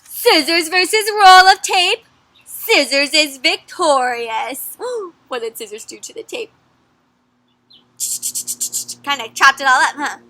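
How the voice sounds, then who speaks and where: A young woman reading a picture book aloud to children in a lively way, gasping and asking her listeners questions. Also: high-pitched. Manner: expressive, asks children questions, gasps and dramatic pauses. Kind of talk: animated children's read-aloud.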